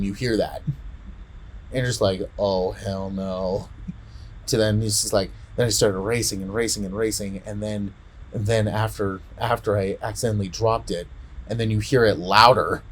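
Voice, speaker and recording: A man in casual podcast chat, retelling a story in a rambling, halting way and often repeating words. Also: on the low side. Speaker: podcast co-host.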